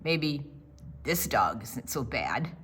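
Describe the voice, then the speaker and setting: A woman's voice: very deep voice, sing-song, fairly bright tone, breathy edge, pitched below the performer's own voice, heavily acted. An older woman voicing a grumpy, tidy man who dislikes animals in a children's storybook, curt and emphatic. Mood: grudgingly softening. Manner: emphatic, curt, drawn-out refusals.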